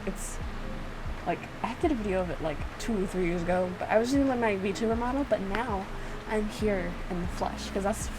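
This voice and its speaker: A woman's voice: mid-range voice, moderate pace, music in the background. A woman chatting casually in a playful, self-mocking way, like a YouTuber doing a reaction video. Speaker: YouTuber reacting to own visual novel. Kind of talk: casual rambling reaction commentary. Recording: clearly noisy room recording.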